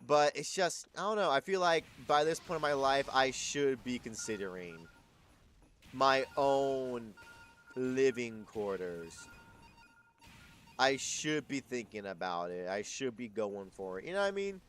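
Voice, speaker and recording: A man's voice: medium pitch, lively intonation, measured pace, fairly bright tone, soft background music. A man of twenty-two chatting casually on a live stream, rambling and correcting himself, swearing freely.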